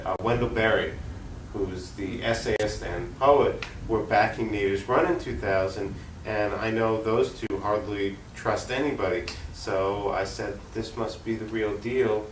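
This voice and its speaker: A man from Long Island, New York, giving conversational, explanatory interview answers with halting pauses. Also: on the low side. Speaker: interview guest, political activist. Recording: roomy, distant mic, faint noise.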